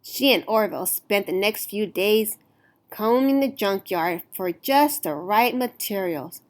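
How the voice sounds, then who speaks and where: A woman reading a picture book aloud to children in an expressive, deliberate storytelling manner. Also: medium pitch. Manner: deliberate, animated storytelling with emphasized words.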